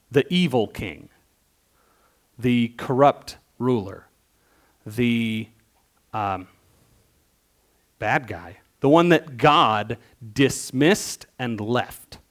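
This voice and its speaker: A man preaching in a relaxed, conversational way. His voice sits at a middle pitch, and he often puts questions to the congregation. He pushes some phrases out loudly and drops others into quiet, low asides. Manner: swings between louder emphatic bursts and quiet low asides. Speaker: worship leader preaching. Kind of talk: sermon.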